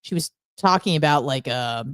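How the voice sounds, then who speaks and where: A woman's voice: low-pitched, expressive, moderate pace, fairly bright tone, clean tone, dry recording, studio quality. A woman co-hosting a radio show, chatting casually and giving blunt, gossipy opinions with plenty of swearing.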